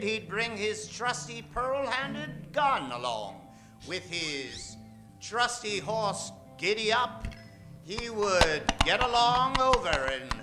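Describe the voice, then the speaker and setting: High-pitched man announcing over music, slow and theatrical. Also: pushed and bright. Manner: dramatic, emphatic delivery with drawn-out names. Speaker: announcer introducing a radio play cast. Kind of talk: theatrical old-time radio narration of a western. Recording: music behind the voice.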